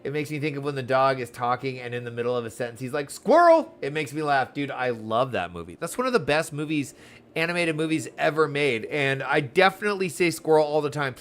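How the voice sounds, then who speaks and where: A man's voice: medium pitch, quick pace, a bit bright. A man giving casual game commentary as a streamer, chatty, playful and easily sidetracked. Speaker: gaming streamer.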